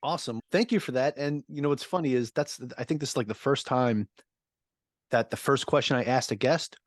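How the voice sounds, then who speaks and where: A man's voice: medium pitch, a little fast, dry recording, clean studio sound. A man hosting a podcast, joking and chatting casually with his guest. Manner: jokey and friendly, casual fillers. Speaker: podcast host. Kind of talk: conversational interview.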